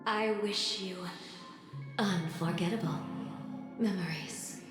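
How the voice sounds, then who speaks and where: A woman's voice: lowish voice, takes it slowly. A woman playing an elegant, self-assured villain in a video game, sinister and silky with mock courtesy. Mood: coolly taunting. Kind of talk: video game character acting. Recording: heavy echo, music underneath.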